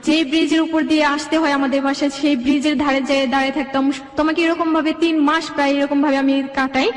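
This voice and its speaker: A woman's voice: high voice, steady tone, fast talker. A young Bangladeshi woman telling her own life story as an interview guest, in rambling run-on sentences. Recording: echoey room with long reverb.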